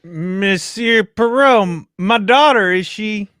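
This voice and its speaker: A young man playing a worried, earnest middle-aged father in a radio mystery reading, somewhat halting. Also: high-pitched, fairly bright tone, clear, resonant tone, no echo, studio quality. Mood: anxious, relieved. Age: young adult. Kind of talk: live reading of old-time radio mystery script.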